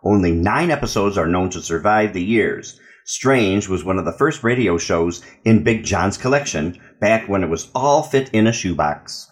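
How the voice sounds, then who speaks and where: A man co-hosts a radio show, reading prepared notes. His voice is low, with lively rises and falls. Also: normal speed, few pauses. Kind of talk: scripted informational narration.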